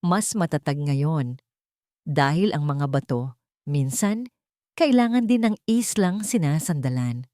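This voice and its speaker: A woman voicing a gentle, supportive man in an audio love story, measured and reassuring. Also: low voice, lively intonation, no echo, studio quality. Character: gentle, supportive man, steadfast romantic partner.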